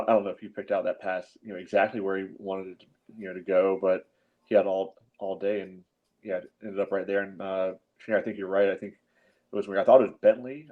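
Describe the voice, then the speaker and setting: Male sports panelist, lowish and monotone, halting conversational delivery, slightly dull recording. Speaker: podcast panelist. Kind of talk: casual sports analysis. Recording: slightly dull, lo-fi call audio.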